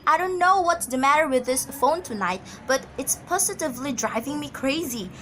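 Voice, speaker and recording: A woman's voice: sits high, lively intonation, normal speed, noisy background. A woman acting a nervous, demanding invalid wife in an amateur radio drama, complaining imperiously and emotionally. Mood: irritated.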